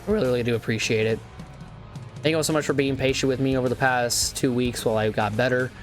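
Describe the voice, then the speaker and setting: A young man with a hoarse, worn voice, talking in an earnest, rambling way with stumbles and restarts. He is wrapping up a sim racing commentary, and his pitch sits in the middle range. Soft guitar music plays quietly behind him. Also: steady tone, quick pace. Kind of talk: casual outro after play-by-play commentary.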